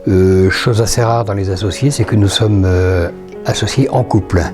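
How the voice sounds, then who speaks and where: A man's voice: on the low side, normal speed, music in the background. A retired man in his sixties introducing himself in an orderly, matter-of-fact way with occasional fillers. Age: 60s. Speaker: retired school administrator, lay associate. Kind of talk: recorded personal testimony.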